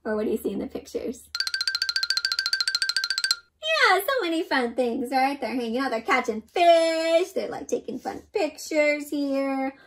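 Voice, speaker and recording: A young woman with a high, bright-eyed storytime delivery reads a picture book to children. Her pitch swings widely and expressively. She keeps pausing to put questions to her young listeners. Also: over music. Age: young adult. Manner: animated and expressive, often asking the listener questions. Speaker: host reading a picture book aloud to children. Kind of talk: interactive children's read-aloud.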